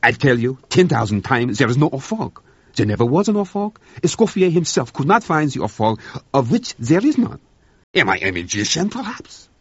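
A man voicing an indignant French head cook on a spaceship, with a French accent and a haughty, excitable manner. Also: on the low side, moderate pace, breathy edge, dry recording, highs cut off. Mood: exasperated.

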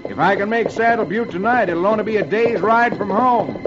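A hearty cowboy hero , acted out by a man speaks briskly in a fairly high, lively voice. He sounds like a radio western lead, on a dull old recording with music. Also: lightly acted. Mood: reassuring. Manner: urgent, hearty. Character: brisk heroic singing-cowboy hero, calm under danger.

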